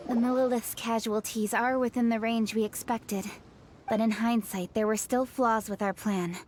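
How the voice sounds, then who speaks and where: A woman playing an earnest, dutiful young official in an anime game, measured and formal. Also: a little high, fairly even intonation, darkish tone, a little hiss or hum, close to an everyday voice. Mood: earnest, grave.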